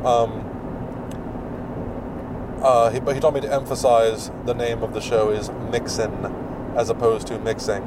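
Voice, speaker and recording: A man hosting his own podcast, with a deep, mumbling voice, rambling through plugs with lots of fillers while he drives. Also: little pitch movement, a little slow, pauses often. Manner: rambling, filler-heavy, self-deprecating. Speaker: podcast host. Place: in a car while driving. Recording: distant mic with road noise.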